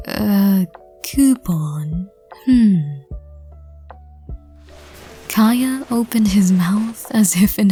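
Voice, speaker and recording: A soft-voiced woman narrates an audio roleplay at a very slow pace. Her voice is breathy and mellow, often close to a whisper, with faint music behind. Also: medium pitch.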